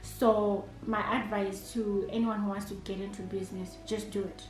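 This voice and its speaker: A woman's voice: mid-range voice, flat delivery, normal speed. Zimbabwean woman, hesitant, echoey room. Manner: hesitant, many fillers and restarts. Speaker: entrepreneur and chef. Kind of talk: answering interview questions alone.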